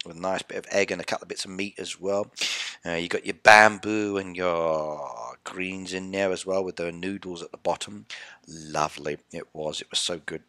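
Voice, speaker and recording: A middle-aged man talks casually into a headset mic while streaming a game, teasing his chat and joking about himself. His voice is low-pitched yet bright and forward, and the sound is close and completely dry. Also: clear, resonant tone, no echo. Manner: chatty, playful, reads chat aloud and teases viewers. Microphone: headset with desk mic.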